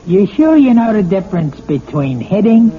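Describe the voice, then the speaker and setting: Mocking, bullying husband, wildly swooping pitch. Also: male voice, very animated, moderate pace, slight background noise. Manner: mocking, taunting. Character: menacing, sarcastic, bullying abusive husband. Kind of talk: classic radio drama acting. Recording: tinny old radio broadcast, faint music.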